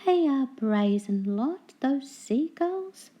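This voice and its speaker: A woman's voice: high-pitched, animated, slow, warm tone, voice pushed up, full character voice. A woman voicing a kindly, resourceful lighthouse keeper's wife in a children's storybook, coaxing and decisive. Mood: determined.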